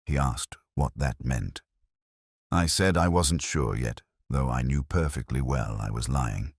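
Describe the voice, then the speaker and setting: A man narrating a detective story in the first person in a measured, reflective, literary manner. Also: rumbling low, husky, no echo, clean studio sound.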